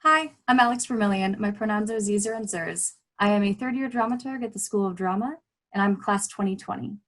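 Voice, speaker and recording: A woman's voice: medium pitch, fairly quick. A young woman speaks in a mid-range voice, answering interview questions. Her delivery is conversational, like a student explaining their own work. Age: young adult. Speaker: dramaturgy graduate student.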